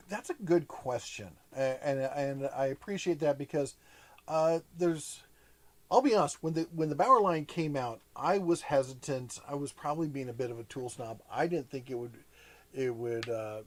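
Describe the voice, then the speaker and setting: Guy hosting a chill livestream, rambly, keeps restarting, pretty excited. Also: medium pitch, moderate pace. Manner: rambling, restarts often, enthusiastic. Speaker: host. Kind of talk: casual livestream chat.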